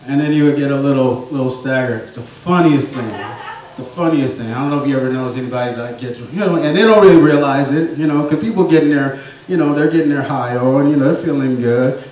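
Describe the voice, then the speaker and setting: A man telling rambling family stories in a stand-up set, with a soft voice he says he has. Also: on the high side, normal speed, heavy reverb, recorded from a distance, poor audio. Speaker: stand-up comedian. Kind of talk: storytelling stand-up comedy. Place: small theatre or bar stage.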